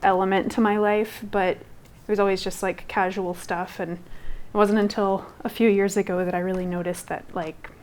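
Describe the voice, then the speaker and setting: A woman's voice: mid-range voice, little pitch movement, normal speed, hardly any pauses, darkish tone. A young woman textile artist from Guatemala whose first language was Spanish, speaking casually in an interview, with lots of fillers and restarts. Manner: rambling, many fillers and restarts.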